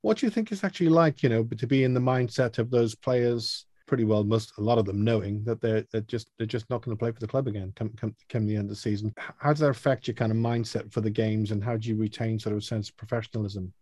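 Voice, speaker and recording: Halting man hosting a fan phone-in. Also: on the low side, mellow, no room sound, clean studio sound. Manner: rambling, halting. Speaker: host. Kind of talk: casual chat.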